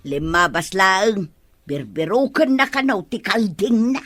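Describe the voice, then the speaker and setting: A woman's voice: medium pitch, sing-song, unhurried, a bit bright, dry recording, studio quality. An actress playing a scolding, easily panicked village woman in an Ilocano radio comedy drama, with an Ilocano accent. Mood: matter-of-fact.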